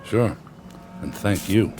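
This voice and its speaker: Weary, low-voiced, sarcastic editor. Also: male voice, slow, music in the background. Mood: wry. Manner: terse, deadpan, weary. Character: bitter, despairing male newspaper editor, drily sarcastic. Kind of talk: radio drama acting.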